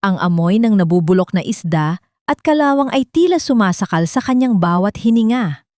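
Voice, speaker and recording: A woman's voice: medium pitch, big pitch swings, normal speed, a little mellow, dry recording, studio quality. A woman reading a scripted dramatic story in a solemn, suspenseful storytelling manner. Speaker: audio drama narrator.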